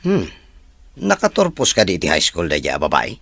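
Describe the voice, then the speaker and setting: A man's voice: deep voice, wildly swooping pitch, fairly quick, creaky, fairly bright tone, no room sound, exaggerated voice. A man playing a frank young friend in an audio drama, giving advice casually. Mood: curious, persuasive. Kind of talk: Ilocano audio drama acting.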